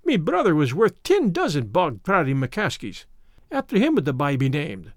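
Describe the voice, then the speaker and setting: A man doing a high, sentimental Irish wife. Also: wildly swooping pitch, normal speed, dry recording, studio quality. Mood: stubborn. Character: sentimental, quarrelsome middle-aged Irish immigrant wife.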